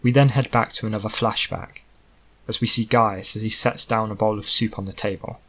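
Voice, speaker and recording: A man's voice: fairly low pitch, fairly even intonation, a little fast, pushed and bright, breathy edge. A man reading scripted fantasy fiction as an audiobook narrator.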